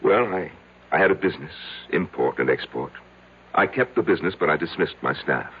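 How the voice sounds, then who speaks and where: An actor in a radio drama playing a lonely, self-loathing middle-aged man who confesses haltingly to his psychiatrist. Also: a bass voice, animated, a little hiss or hum. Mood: quiet, matter-of-fact. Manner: halting, hesitant, repeating himself. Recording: tinny, band-limited old radio broadcast.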